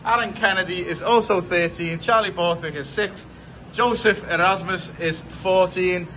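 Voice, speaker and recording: Bright upbeat English man reading shout-outs. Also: sits high, a little hiss or hum, poor audio. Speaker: vlog co-host reading birthday shout-outs. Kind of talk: reading out birthday list.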